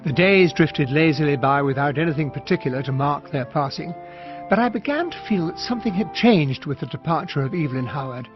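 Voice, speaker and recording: An actor playing a courteous British army officer in a period radio drama, with a genteel, expressive way of speaking. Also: male voice, a little high, a little fast, highs cut off, over music, natural voice. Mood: uneasy. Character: courteous, observant army officer narrating a country-house mystery.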